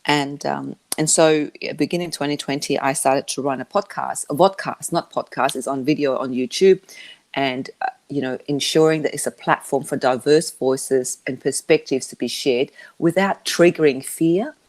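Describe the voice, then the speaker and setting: A woman who learned English as a second language, answering interview questions about her business in a halting way, with many restarts. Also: sits low, darkish tone. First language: not English. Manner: halting, with frequent restarts and fillers. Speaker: interview guest, media business founder.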